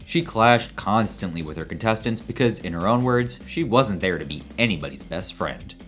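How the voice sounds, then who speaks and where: A man's voice: fairly low pitch, expressive, moderate pace, fairly bright tone, soft background music. A man doing scripted countdown narration with a wry, punchy delivery.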